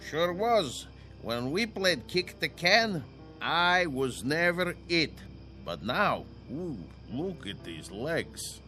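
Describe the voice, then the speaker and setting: An actor playing a simple, wistful old man in a radio drama, halting and full of childlike wonder. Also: a little high, very animated, sharp tone, heavily acted. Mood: proud, wistful. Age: older. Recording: music bed under the voice.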